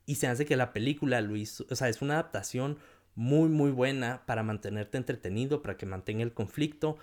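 Brief: Mexican man, relaxed film-chat co-host, animated and talkative, average pitch. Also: a little fast. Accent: Mexican Spanish. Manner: animated, quotes people in raised voices. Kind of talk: casual film discussion.

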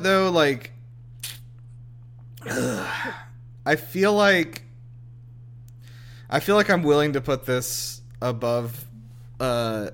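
A man's voice: medium pitch, fairly bright tone. A man hosting a casual group movie review chat in a sarcastic, sweary way. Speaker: host of a movie reaction show.

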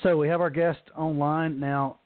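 A man's voice: on the high side, little pitch movement, normal speed, no room sound. A man from Alabama with a Southern accent, hosting a radio show in a folksy, joking way. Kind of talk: casual radio chat. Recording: tinny phone line.

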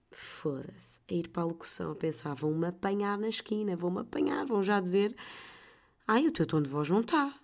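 A woman with a European Portuguese accent hosting a podcast, telling stories in a chatty, animated way. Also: lowish voice. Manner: chatty, animated storytelling with laughs. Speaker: podcast host; voice-over artist. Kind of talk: casual chat.

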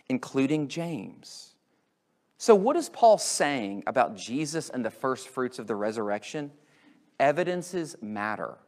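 A man with an American accent preaching an Easter sermon as a pastor, emphatic and full of rhetorical questions, swinging between loud and hushed delivery. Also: on the high side. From United States.

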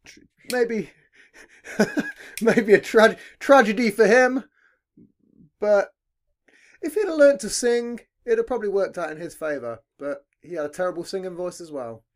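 Male podcast host, deep heavy voice, lively swooping pitch, relaxed rambling delivery with casual swearing. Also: measured pace, long pauses. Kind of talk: casual chat.

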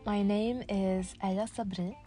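Female podcast host, early 20s. Earnest, encouraging motivational read, mid-pitched, over background music. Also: fairly even intonation, slow, mellow. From Casablanca, Morocco. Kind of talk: scripted motivational podcast narration.

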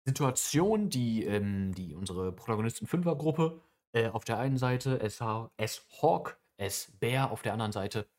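A man's voice: mid-range voice, animated, darkish tone. Chatty young man with filler-heavy talk. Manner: chatty, many fillers and quick asides. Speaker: podcast co-host. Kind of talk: casual chapter discussion.

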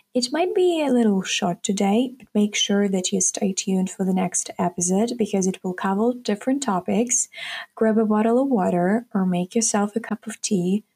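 A woman's voice: mid-range voice, dark tone, husky. A nineteen-year-old woman from Ukraine, hosting her own podcast in a chatty, upbeat solo talk that speaks straight to her listeners. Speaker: podcast host. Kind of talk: casual solo podcast monologue.

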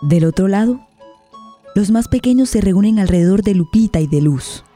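Woman narrator, super mellow dark voice, sombre radio play, measured, light music behind. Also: medium pitch, animated. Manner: measured, deliberate phrasing.

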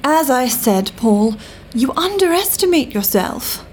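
A woman's voice: high voice, very animated, a little slow, a little hiss or hum. An actress with a British accent playing a cool, worldly 1930s government agent woman in an audio drama, genteel, dry and arch. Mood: brisk, arch. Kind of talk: full-cast audio drama acting.

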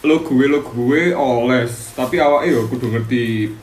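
A man's voice: mid-range voice, unhurried, a little mellow. A young man with a Malang Javanese accent, hosting a casual student podcast in an easygoing, self-deprecating way. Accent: East Javanese, Malang. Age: young adult. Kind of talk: casual chat. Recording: echoey room, faint noise.

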